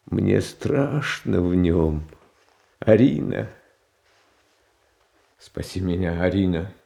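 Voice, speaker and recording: Timid young tsar, gravelly, very slow and drawn out, slightly high, pleading, radio play. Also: male voice, warm tone, full character voice. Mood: frightened. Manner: hesitant, confused and pleading. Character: meek, anxious young male tsar. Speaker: title character in a radio play. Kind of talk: theatrical radio play acting.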